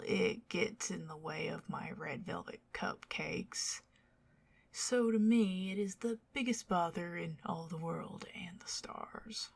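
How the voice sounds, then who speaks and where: An older vampire lady, acted by a woman for an ASMR roleplay, bewitching, possessive and archly theatrical. She whispers in a husky, breathy voice full of creak, taking her flowery phrases very slowly. She dotes and fusses with old-fashioned grandeur. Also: fairly low pitch, heavily acted.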